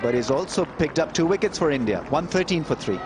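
Measured male cricket commentator, loud crowd. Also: a little high, animated. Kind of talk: live TV sports commentary. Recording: loud crowd and noise, outdoor broadcast. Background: loud crowd and ground noise.

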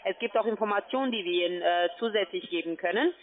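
A woman with a mid-pitched voice comes through a thin, narrow phone line. She delivers scripted sales talk as a call centre agent. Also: fast talker. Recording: band-limited, tinny phone line.

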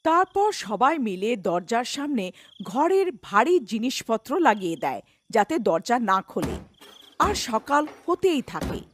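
High-pitched lively woman storyteller. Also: measured pace, a little hiss or hum. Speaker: narrator. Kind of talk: scripted story narration.

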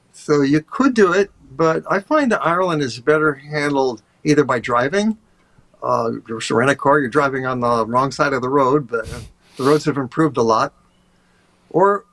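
An older man, a tour guide, chatting over a video call in a relaxed, rambling way with many fillers. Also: fairly high pitch. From USA. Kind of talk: casual interview talk. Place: home office, indoors.

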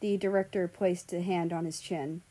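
Mellow-voiced woman reading a story. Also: fairly low pitch, fairly even intonation, normal speed. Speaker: audiobook reader of fan fiction. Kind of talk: scripted story narration.

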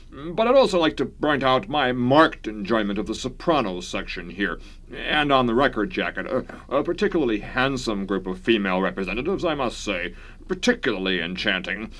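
An actor playing a pompous, irascible classical music critic, haughty and ornate, in a satirical radio comedy. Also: male voice, mid-range voice, a bit bright.

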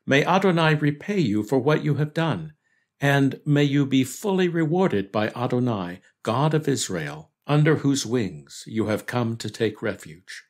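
Man, medium pitch, steady earnest devotional narration, studio mic. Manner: measured, earnest delivery of scripture reflection. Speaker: devotional podcast host. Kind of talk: scripted devotional reading.

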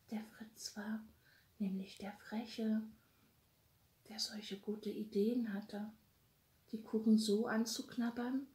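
A middle-aged woman gently reading a bedtime story for children, speaking softly and directly to her little listeners. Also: mid-range voice. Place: small room at home. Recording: roomy, echoey home recording.

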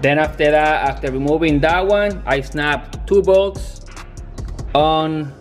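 A young man talking casually in a garage workshop, filming himself on a handheld camera, halting and restarting as he explains his car work. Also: on the high side, music in the background. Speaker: car builder.

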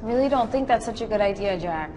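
A woman's voice: mid-range voice, expressive, fast talker, background noise, close to an everyday voice. A woman playing an anxious young ghost-hunting crew member, nervous and naturalistic, in a video game cutscene. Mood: nervous reluctance. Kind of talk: live-action video game cutscene acting.

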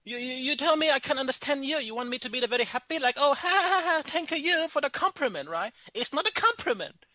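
Brief: a man playing an irate restaurant owner lady, high and bright, broken English, stammering, hurling insults, dry close sound. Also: expressive, quick pace, no echo, exaggerated voice. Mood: indignant. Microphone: phone call.

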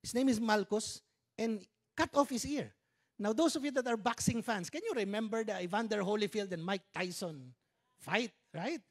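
A man's voice: high voice, moderate pace. A Filipino man in his fifties preaching a sermon on a church stage, conversational, with joking Tagalog asides, speaking into a handheld mic. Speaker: pastor. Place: church stage with dark curtains.

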